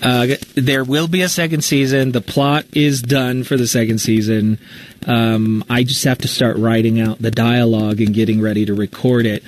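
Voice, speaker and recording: Male writer in a relaxed Q&A, medium pitch, talks candidly and works out his answers aloud. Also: moderate pace, poor audio. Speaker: writer and storyteller of a fiction podcast, being interviewed. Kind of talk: casual live interview answers.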